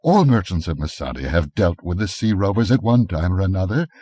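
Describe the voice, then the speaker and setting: A man's voice: deep voice, lively intonation, a little fast, warm tone, husky, no room sound. A man reading an audiobook, voicing a nervous rich merchant who whispers and pleads in fear. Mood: pleading.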